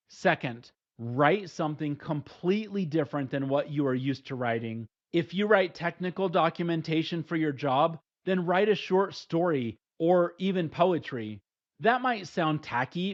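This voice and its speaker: A middle-aged man giving steady, plain, numbered advice in a scripted instructional talk. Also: fairly high pitch. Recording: dull lo-fi recording.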